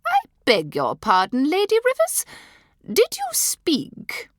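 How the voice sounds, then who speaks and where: A woman's voice: medium pitch, sing-song, slow, pushed and bright, dry recording, clean studio sound, full character voice. A woman reading the part of a haughty, imperious old dowager duchess with a cold, cutting manner.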